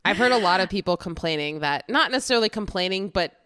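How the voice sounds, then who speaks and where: A woman's voice: mid-range voice, expressive, fast, fairly bright tone, clean tone, no echo, studio quality. A woman with a congested voice from a cold, co-hosting a film podcast in easygoing, casual chat. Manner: easygoing, apologetic, fillers. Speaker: podcast co-host.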